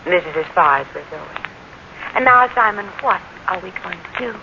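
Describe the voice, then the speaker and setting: A woman's voice: on the low side, animated, moderate pace, vocal fry. A woman playing a coy, teasing, flirtatious wife in a coquettish 1940s radio acting style. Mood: playful. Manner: coquettish, playful. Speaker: radio actress. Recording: muffled, noisy 1940s radio broadcast.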